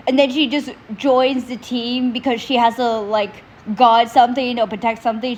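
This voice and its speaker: A young woman with a stuffy nose and sore throat, hosting a casual reaction video in an animated, opinionated way. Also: on the high side. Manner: animated, opinionated ranting to viewers.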